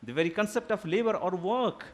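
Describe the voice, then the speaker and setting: A fairly high-pitched man speaks English as an Urdu native, lecturing to a seminar. He talks in a deliberate, academic way and pauses with fillers as he builds his points. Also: animated. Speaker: guest lecturer. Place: seminar hall.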